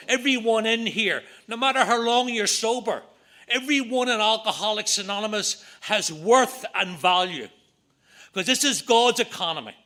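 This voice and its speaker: Northern irish guy, middle-aged, high bright voice, earnest talk at a meeting, lots of you-know asides. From Northern Ireland. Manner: earnest, repetitive, quick asides. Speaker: AA speaker. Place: meeting room.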